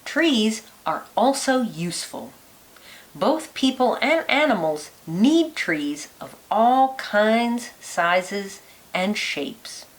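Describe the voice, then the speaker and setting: A woman reads a children's book aloud in a teacherly way. Her pace is unhurried and her pitch moves in lively rises and falls. She places each phrase deliberately, lilting on key words.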